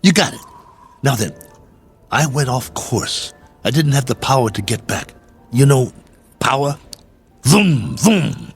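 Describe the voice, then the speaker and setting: Stranded space colonel, weary, lonely, halting emphatic delivery, mid-pitched, radio drama, faint music. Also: male voice, animated, gravelly. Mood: earnest, explaining. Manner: halting, repetitive, emphatic pleading and musing.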